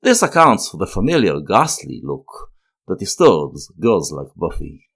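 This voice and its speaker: A man delivers scripted, analytical narration. His voice sits fairly low, and his intonation swings widely as he goes. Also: very animated, measured pace, vocal fry, fairly bright tone, husky. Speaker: video essay narrator.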